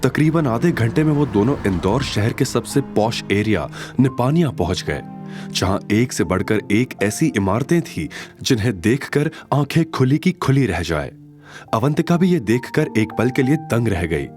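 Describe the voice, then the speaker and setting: Male narrator, audio drama, lively melodic delivery, soft music underneath. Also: mid-range voice, normal speed. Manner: expressive storytelling.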